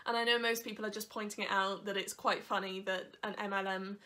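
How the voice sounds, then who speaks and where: A woman's voice: mid-range voice, flat delivery, brisk, bright, forceful tone. A young woman with a congested nose from hay fever, chatting candidly to her camera at home. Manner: chatty, candid, laughs between points. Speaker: YouTuber. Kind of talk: casual vlog chat. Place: living room at home. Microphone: camera on a desk in front of her.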